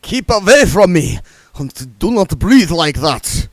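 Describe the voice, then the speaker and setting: A man voicing an anxious male vampire fighting his thirst, who talks slowly and tremblingly and bursts into wailing song. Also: mid-range voice, sing-song, no echo, pitched above the performer's own voice. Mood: anguished.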